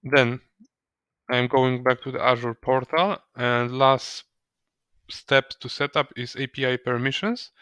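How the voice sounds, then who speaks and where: Bright, clear-voiced man giving halting software tutorial. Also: mid-range voice, fairly even intonation, no room sound. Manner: halting, hesitant delivery with repeated words. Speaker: software trainer. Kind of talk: step-by-step software tutorial narration.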